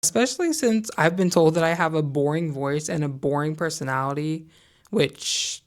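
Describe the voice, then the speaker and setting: Low-voiced young woman vlogging candidly. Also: normal speed. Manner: candid, conversational, opinionated with hedges. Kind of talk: casual talking-head vlog.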